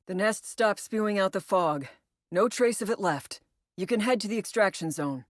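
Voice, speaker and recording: Low-voiced military woman, voiced by an actress. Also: no echo, clean studio sound, close to an everyday voice. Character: female military operative briefing squad on alien parasite missions. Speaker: mission briefer and incursion leader. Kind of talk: scripted video game mission briefing.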